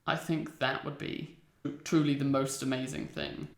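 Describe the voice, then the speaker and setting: A man's voice: mid-range voice, flat delivery, measured pace, creaky. A man giving casual interview answers as a fan audio drama cast member, in a roomy, echoing recording.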